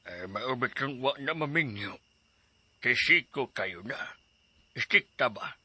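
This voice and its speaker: Guy doing jokey middle-aged dad in a radio drama, bright voice that swoops around. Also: medium pitch, expressive, unhurried, slightly rough, heavily acted. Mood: teasing. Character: joking middle-aged father, teasing and warm. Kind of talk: Cebuano radio drama acting.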